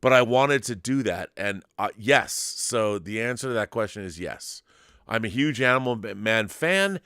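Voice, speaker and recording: A man's voice: fairly low pitch, expressive, normal speed, a bit bright, clean tone, no echo, clean studio sound. A man hosting a casual live stream, chatting in an enthusiastic, rambling way and reading out viewer messages.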